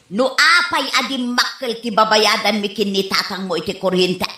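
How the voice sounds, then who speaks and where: A woman's voice: medium pitch, moderate pace, bright, forceful tone, close to an everyday voice. A woman playing a fussy, scolding older mother in an Ilocano radio comedy drama, with an Ilocano accent. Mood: complaining. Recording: roomy, echoing sound.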